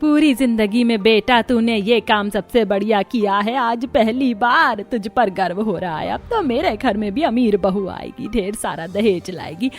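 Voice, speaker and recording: A woman's voice: on the high side, lively intonation, measured pace, few pauses, faint music behind. A woman voicing a greedy, boastful, bossy older mother-in-law in a Hindi cartoon story, with a scolding, emphatic manner. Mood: gloating.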